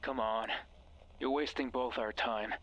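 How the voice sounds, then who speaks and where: A man playing a mysterious, controlling guide in a horror game, speaking in a commanding, condescending, deliberate way with menace and false care. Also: medium pitch, steady tone, fairly bright tone, no room sound, lightly acted, poor audio. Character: mysterious controlling male voice guiding through a hospital, menacing, falsely caring. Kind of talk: horror visual novel voice acting. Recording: music behind the voice.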